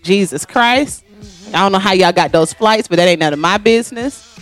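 A woman's voice: medium pitch, normal speed. A woman co-hosting a podcast in casual banter, brash and full of profanity. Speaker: podcast co-host. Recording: music playing behind the voice. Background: music.

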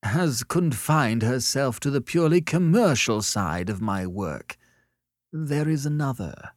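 A man's voice: mid-range voice, expressive, measured pace, no echo, clean studio sound, pitched above the performer's own voice, natural voice. A man voicing a pompous, easily offended male artist in an audiobook story, talking with gracious condescension.

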